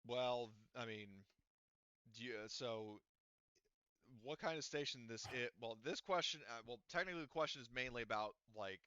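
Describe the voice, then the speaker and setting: Halting game master, bright crisp voice. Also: male voice, mid-range voice, no echo, muffled recording. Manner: halting, many restarts and fillers. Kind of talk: casual tabletop game narration.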